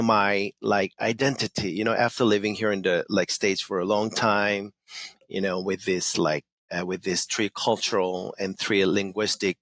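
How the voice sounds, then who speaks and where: A man's voice: lowish voice, a bit bright, dry recording. A middle-aged Korean man with a Korean accent, chatting as an interview guest in a loose, enthusiastic way, full of "you know" and "like". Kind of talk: casual interview chat.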